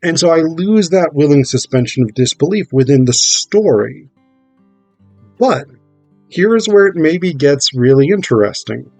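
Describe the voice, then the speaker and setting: A man getting over a cold gives an informal, analytical talk about theatre, thinking aloud in a halting way with rhetorical check-ins. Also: on the high side, lively intonation, a little mellow, dry recording, soft background music. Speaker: theatre performer explaining a play.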